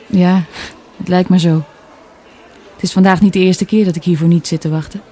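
A woman's voice: lowish voice, steady tone, moderate pace, mellow, slightly rough. A woman playing a guarded, melancholy young adult daughter in a naturalistic Dutch radio drama. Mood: resigned.

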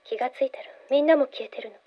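Actress as high-voiced, haunted young woman patient. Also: rapid-fire, lightly acted. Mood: hushed, haunted. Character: troubled young woman patient, dreamy, haunted, recounting visions under hypnosis. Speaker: voice actor. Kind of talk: radio drama acting. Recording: dull audio drama recording.

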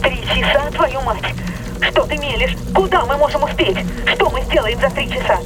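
Feisty sci-fi crewwoman played by an actress, blunt and challenging, audio play, clear noise behind the voice. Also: high voice, normal speed, natural voice. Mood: angry, alarmed. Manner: blunt, sharp-tongued, challenging. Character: feisty, blunt female spaceship crewmate. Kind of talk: audio drama acting.

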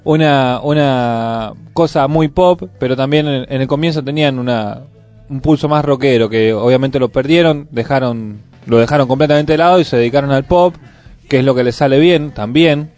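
A man's voice: mid-range voice, moderate pace, a little mellow. A man with an Argentine accent hosting a radio music show, commenting in a conversational way. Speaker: radio host.

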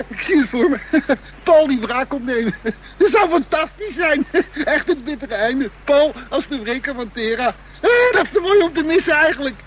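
An actor playing a reckless, taunting young gang leader with a death wish in a Dutch radio drama, in a mocking, excitable manner. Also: male voice, very high voice, normal speed. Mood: gleeful, excited. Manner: mocking, excitable, breaking into laughter. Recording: noisy radio drama recording.

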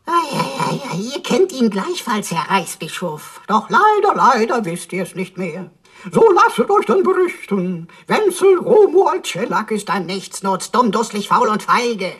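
A woman voicing a cheeky, chattering computer sidekick in a comic radio drama, husky and given to flowery, theatrical phrasing. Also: mid-range voice, big pitch swings, a little slow. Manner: flowery mock-archaic speech, theatrical.

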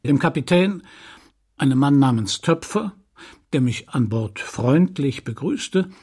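A man reading as a traveling storyteller who calmly recounts grotesque adventures in a literary radio feature, with a gravelly, husky voice. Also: mid-range voice, unhurried. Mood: measured, eerie. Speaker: literary reader.

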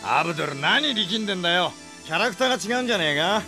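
A brash, teasing male sidekick from an anime radio play. He is loud and excitable, high-pitched and swinging widely, with music behind him. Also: racing. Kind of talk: Japanese anime audio drama acting.

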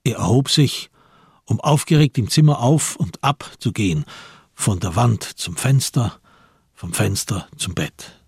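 A man narrating a radio drama from a script, in a measured literary storytelling manner. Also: on the low side, lively intonation, creaky, husky, no echo, studio quality.

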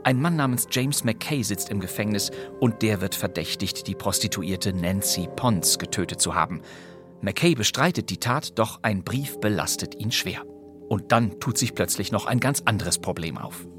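A man's voice: on the low side, expressive, normal speed, over music. A middle-aged man hosting a podcast and engagingly introducing an old radio crime play. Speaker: podcast host.

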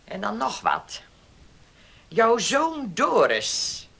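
Domineering farm mistress, swinging pitch. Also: female voice, wildly swooping pitch, creaky, a bit bright, breathy edge, full character voice. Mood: scornful, scheming. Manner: commanding, condescending, deliberate threats. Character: domineering, scheming mistress of a farm, cold and threatening. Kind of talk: Dutch radio play acting.

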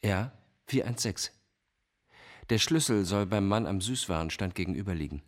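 Manipulative male doctor villain, low register, courteous, controlled, for a radio thriller. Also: animated, normal speed. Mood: feigned concern. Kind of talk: radio crime drama acting.

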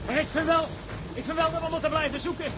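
A loyal, agitated seaman in a radio drama. His very high male voice stammers and insists, with clear engine and sea noise behind it. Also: fairly even intonation, quick pace. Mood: stubborn. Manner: stammering, insistent, repeats words.

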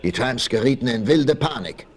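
Animated male storyteller. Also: medium pitch, normal speed, heavy background noise. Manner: suspenseful storytelling. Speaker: narrator. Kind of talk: dramatic scripted audio drama narration, 1960s.

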